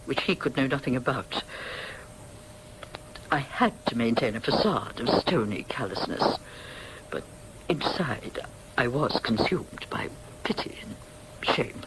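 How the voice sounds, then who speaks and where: An actress playing a stern, dignified, guilt-ridden older upper-class mother in a radio drama, speaking formally and with measured precision. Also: very deep voice, slight background noise, rough recording.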